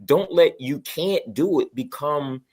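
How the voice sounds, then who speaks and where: A man's voice: on the high side, slow, a little mellow. A man chatting casually in an emphatic, motivational way, peppering his talk with "man" and "you know". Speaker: online radio show panelist. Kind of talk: casual group chat.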